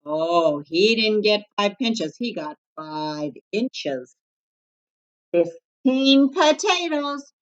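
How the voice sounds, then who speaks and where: A woman narrating a children's story aloud in an expressive read-aloud style, on a slightly muffled recording. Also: low-pitched, takes it slowly, few pauses. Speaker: storybook reader.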